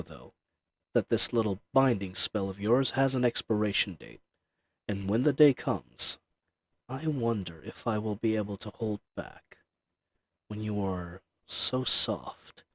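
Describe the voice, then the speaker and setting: A man's voice: lowish voice, fairly bright tone, breathy edge, dry recording, full character voice. A man voicing an ancient dragon familiar in an intimate audio roleplay, speaking formally, possessive and seductive, condescending yet soothing. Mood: hungry, tender. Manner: formal, archaic diction, soothing and teasing. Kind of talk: intimate scripted roleplay audio addressed to the listener.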